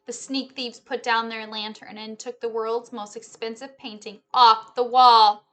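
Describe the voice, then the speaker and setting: A woman in her thirties reading a children's story aloud in an expressive, animated way, indoors on a webcam. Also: a little high, measured pace, faint music behind. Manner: animated, hushing and swelling with the story. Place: small living room, indoors. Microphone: webcam at desk distance.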